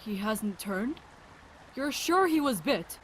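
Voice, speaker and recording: A woman acting a fairly high-voiced, reassuring doctor. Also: lively intonation, takes it slowly, slight background noise. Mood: curious. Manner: chatty, reassuring. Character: friendly, curious adult doctor and virus researcher. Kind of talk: audio drama acting.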